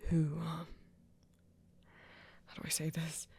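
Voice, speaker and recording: A woman playing a flirty, tender vampire in an intimate ASMR romance, speaking gently and haltingly in a breathy half-whisper. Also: deep voice, completely flat delivery, heavily acted. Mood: tender, hesitant. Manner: halting, gentle, careful phrasing. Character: flirty, tender vampire shyly confessing love to a hunter.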